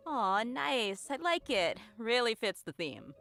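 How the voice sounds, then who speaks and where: A woman's voice: fairly high pitch, sing-song, slow pace, gravelly, a bit bright. A woman co-hosting a game update program, reacting enthusiastically with giggles. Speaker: program co-host. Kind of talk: scripted co-hosting.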